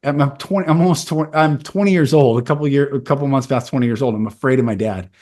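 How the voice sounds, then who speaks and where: A man's voice: mid-range voice, fast talker, dark tone. A man in his forties with a mid-range voice hosts a podcast. He shares a personal story in a candid, earnest, conversational way. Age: 40s. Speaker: podcast host. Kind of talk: casual conversation.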